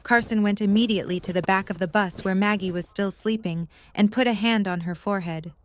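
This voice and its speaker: A woman's voice: mid-range voice, fairly even intonation, brisk. A woman reading scripted third-person narration for an audio drama.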